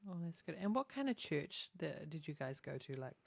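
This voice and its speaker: Low-voiced New woman podcast host. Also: very animated, brisk, creaky, no echo. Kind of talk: podcast introduction and conversational interviewing.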